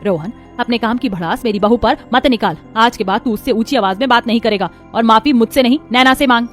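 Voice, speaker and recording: A woman voicing a protective middle-aged mother-in-law in an animated family drama, motherly and firm. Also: on the high side, brisk, soft background music, lightly acted. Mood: stern, indignant.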